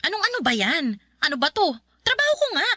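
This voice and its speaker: A woman's voice: high-pitched, wildly swooping pitch, fast, pushed and bright, no room sound, clean studio sound, full character voice. An actress playing a young, career-driven girlfriend in a Tagalog radio drama, with a snappy, sarcastic way of talking. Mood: defensive, irritated.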